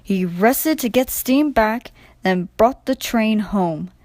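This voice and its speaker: A woman narrating a scripted children's storybook in an expressive reading style. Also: medium pitch, takes it slowly, no echo, clean studio sound.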